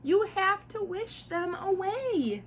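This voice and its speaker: A woman reading picture books aloud to children in an expressive, playful way, talking directly to her young listeners. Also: very high-pitched, slow pace, slight background noise. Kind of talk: animated storybook read-aloud.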